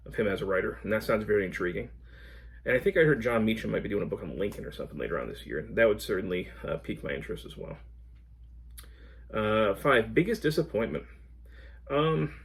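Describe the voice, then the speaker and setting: A man's voice: on the low side, gravelly. A man talking casually as a book reviewer, reflective and a little hesitant as he picks his words. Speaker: book reviewer video host. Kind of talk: casual book-tag chat to camera.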